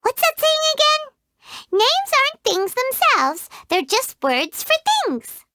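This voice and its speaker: A tiny, chirpy fairy sidekick, with a very high, bright, squeaky childlike voice that bounces all over the place. She refers to herself in the third person. A faint hiss sits under the studio-recorded game dialogue. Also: very animated, takes it slowly, full character voice. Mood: chirpy, excitable. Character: tiny floating fairy companion, chirpy and excitable. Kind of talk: video game character dialogue.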